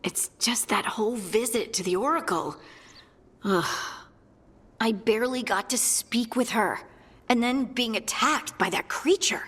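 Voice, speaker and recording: A woman's voice: a little high, measured pace, fairly bright tone, breathy edge, big-room sound. A woman playing an earnest, plucky young scout in a fantasy video game, with a conversational manner. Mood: troubled, earnest. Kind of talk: naturalistic video game acting.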